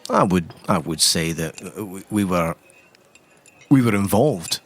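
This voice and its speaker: A man's voice: sits low, lively intonation, unhurried, heavily acted. A man playing a gloomy, flustered man in an improvised tabletop game, speaking hesitantly.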